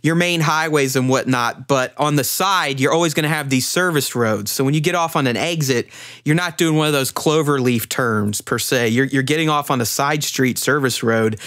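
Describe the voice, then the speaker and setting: A man's voice: medium pitch, normal speed, a bit bright. Informal man hosting a casual podcast. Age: 30s. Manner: informal, swearing. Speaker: podcast host. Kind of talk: casual panel discussion.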